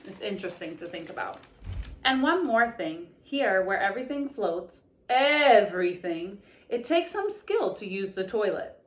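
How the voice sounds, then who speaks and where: A woman's voice: medium pitch, a little slow. A young woman teacher reading a picture book aloud to children, stopping for chatty explanations. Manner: expressive storybook reading broken up by chatty explanations. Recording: echoey room.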